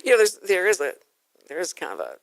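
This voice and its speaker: An American woman hosts a radio interview in a fairly low voice. She rambles in an informal, storytelling way, voicing bits of quoted speech as she goes. The sound is dry, with little room in it. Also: huge pitch swings, fast, gravelly, no echo. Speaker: radio show host.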